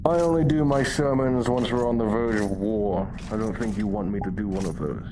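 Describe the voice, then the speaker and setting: A man's voice: lowish voice, fairly quick, music in the background, close to an everyday voice. A man playing a grave war preacher in a fantasy abbey roleplay, speaking in formal, sermonizing language over live online voice chat. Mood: dry, grave. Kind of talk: improvised fantasy roleplay, archaic formal speech.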